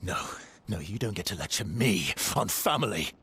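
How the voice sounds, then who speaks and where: An actor playing a defiant, anguished son, heated and accusing, in a cinematic game drama. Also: male voice, sits low, lively intonation, normal speed, creaky, breathy edge, exaggerated voice. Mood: angry defiance. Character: defiant, anguished son confronting his father. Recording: loud music over the voice. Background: loud music.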